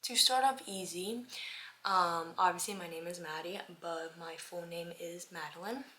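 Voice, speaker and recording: A woman in her twenties from Miami, chatting casually while doing her makeup on her first vlog, upbeat with lots of fillers and some soft whispery asides. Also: on the low side, normal speed, a little hiss or hum. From Miami, United States. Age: 20s. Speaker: vlogger. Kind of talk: casual get-ready-with-me chat.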